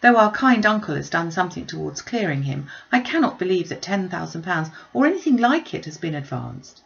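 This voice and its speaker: A woman voicing a gentle, forgiving young gentlewoman in a classic novel, earnest and measured. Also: on the low side, sing-song, creaky, lo-fi sound. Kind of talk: classic novel read aloud.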